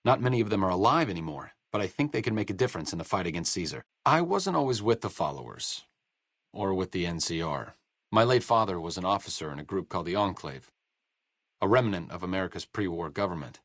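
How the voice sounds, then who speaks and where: An actor playing a bookish, idealistic doctor in a video game, speaking in an articulate, sardonic and understated way. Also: male voice, sits low, lively intonation, fast, fairly bright tone, no echo, studio quality. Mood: earnest. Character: dry-witted, bookish male doctor and researcher, idealistic and self-deprecating. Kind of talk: video game dialogue acting.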